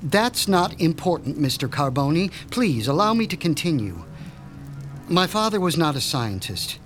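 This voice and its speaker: An actor playing a proud, formal mad-scientist doctor in a radio drama, speaking with precise, pompous diction. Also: male voice, fairly high pitch, sing-song, moderate pace, soft background music. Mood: measured. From Switzerland. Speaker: mob doctor.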